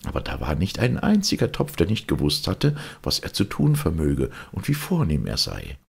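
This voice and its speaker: A man reading a fairy tale aloud as an expressive audiobook storyteller. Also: low voice, moderate pace, creaky, husky.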